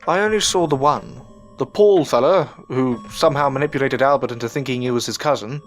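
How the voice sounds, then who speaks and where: A man playing an earnest, anxious upper-class gentleman learning magic in an audio drama, speaking politely and formally with a hesitant stammer. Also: medium pitch, fairly quick, natural voice. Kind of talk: audio drama acting with narrated reflections. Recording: voice over prominent background music.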